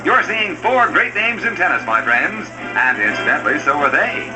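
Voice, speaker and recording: A man's voice: fairly high pitch, animated, normal speed, rough recording. A man narrating an old newsreel from a script in a dramatic, declamatory announcer's delivery. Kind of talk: scripted vintage newsreel commentary. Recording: old newsreel soundtrack with loud music. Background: music.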